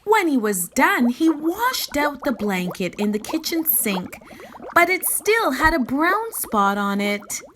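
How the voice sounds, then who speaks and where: Female picture-book narrator, high-voiced and unhurried, expressive and deliberate. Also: slight background noise. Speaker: children's story reader. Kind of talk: scripted picture-book read-aloud.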